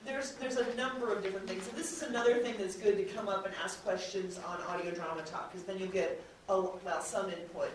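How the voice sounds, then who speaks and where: A woman speaking as a podcast producer on a panel, chatting unscripted to an audience in an animated way. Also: medium pitch, a little fast. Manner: chatty, animatedly mimics others in quoted speech. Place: convention panel room with an audience. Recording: very echoey room, distant mic, faint noise.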